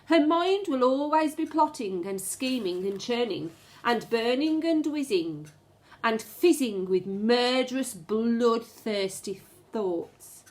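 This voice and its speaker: Expressive, slow-paced woman reading a storybook. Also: fairly high pitch. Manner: expressive, suspenseful, deliberate storytelling. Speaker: primary school teacher reading aloud to pupils. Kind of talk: dramatic storybook read-aloud with asides to children.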